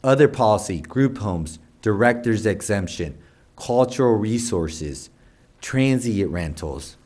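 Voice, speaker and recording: Lower-voiced man chairing a council meeting. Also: animated, takes it slowly, a little mellow. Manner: rambling, many restarts, lists items. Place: council chamber.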